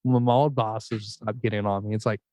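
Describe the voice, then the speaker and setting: A young adult podcast host talking in a relaxed, rambling way, full of fillers. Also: mid-range voice, moderate pace. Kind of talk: casual podcast conversation.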